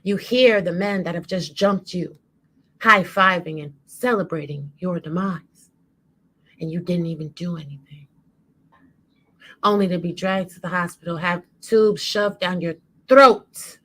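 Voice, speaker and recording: A woman delivering an impassioned spoken-word monologue with dramatic, emphatic and deliberate phrasing. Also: lowish voice, fairly bright tone, low-quality recording.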